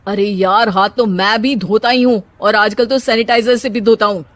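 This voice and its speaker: A woman's voice: mid-range voice, fairly even intonation, normal speed, no room sound, close to an everyday voice. A woman voicing a teasing, joking young husband in a cartoon story.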